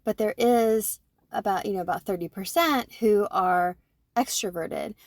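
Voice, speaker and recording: A woman in her forties, co-hosting a podcast, talking through her own thoughts in an animated way and often checking in with "right?". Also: medium pitch, a little slow, no room sound, clean studio sound. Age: 40s. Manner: animated, self-reflective, fond of tag questions like "right?". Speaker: podcast co-host. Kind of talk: casual conversation.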